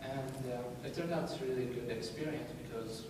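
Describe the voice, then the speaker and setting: A man's voice: mid-range voice, a little fast, noisy background. Young man speaking from far off in an echoey hall. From Iraq. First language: Arabic. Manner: hesitant, many restarts, laughs easily. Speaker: conference speaker. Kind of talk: casual informal talk to an audience.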